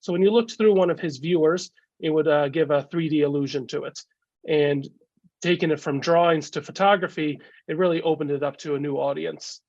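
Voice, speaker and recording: A man with a cold and a gravelly voice giving a casual slideshow talk as a guest speaker, halting with many fillers, over a video call. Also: fairly high pitch, steady tone, a little mellow, no room sound. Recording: dull lo-fi video call audio.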